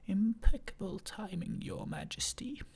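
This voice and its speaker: Reader whispering a prim pompous bird, fussy and indignant, husky and slow. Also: mid-range voice, lively intonation, a little mellow, pitched below the performer's own voice, full character voice. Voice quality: breathy whisper. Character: fussy male hornbill majordomo, pompous.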